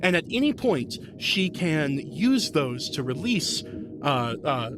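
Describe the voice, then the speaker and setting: A man's voice: fairly high pitch, big pitch swings, takes it slowly, noisy background. A man speaking as a podcast guest and game master, explaining fantasy lore casually in an enthusiastic, rambling way.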